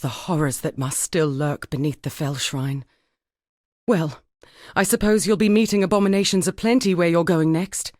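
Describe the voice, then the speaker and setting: An actress as a solemn, low-voiced grieving woman survivor. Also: darkish tone, no room sound, clean studio sound, close to an everyday voice. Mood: grave, foreboding. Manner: measured, formal, wistful. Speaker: non-player character in a video game. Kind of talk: dramatic scripted game dialogue.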